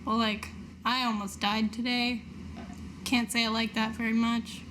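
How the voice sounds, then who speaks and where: A woman's voice: on the high side, level tone, a little slow, background noise, lightly acted. A woman playing a shaken, dutiful female adventurer new to danger in a tabletop game, hesitant and understated. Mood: shaken, dry.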